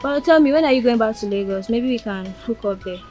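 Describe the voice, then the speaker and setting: A woman's voice: fairly high pitch, lively intonation, a little fast, warm tone, soft background music, close to an everyday voice. An actress playing a breezy young Nigerian city girl teasing her friend.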